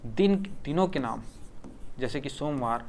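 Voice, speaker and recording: A man's voice: medium pitch, expressive, a little slow, a little mellow, slight background noise. A man with a Chhattisgarh Hindi accent teaching a grammar lesson for an exam, repeating words and often checking that the class follows.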